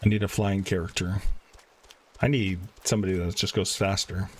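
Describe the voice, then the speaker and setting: Low, husky-voiced man streaming a game. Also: fairly even intonation, moderate pace. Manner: informal, thinking aloud. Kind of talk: casual gameplay commentary.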